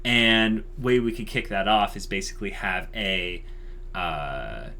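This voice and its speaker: A man's voice: on the low side, measured pace, sharp tone, a little hiss or hum. A man co-hosting a YouTube channel, chatting casually and unscripted in an enthusiastic, emphatic way, repeating himself for emphasis.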